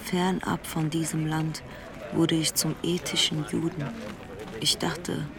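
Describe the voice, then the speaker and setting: A man playing an earnest, searching character in a stylised radio play monologue, speaking in deliberate statements over music. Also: fairly high pitch, flat delivery. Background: clear music.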